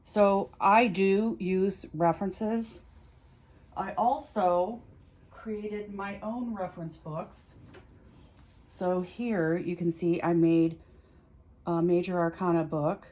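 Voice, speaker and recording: A woman with a slightly low-pitched voice hosts a casual tarot vlog. She speaks very slowly, explaining each step in an informal, chatty way and thinking aloud as she goes. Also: mellow. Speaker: tarot reader hosting a practice series.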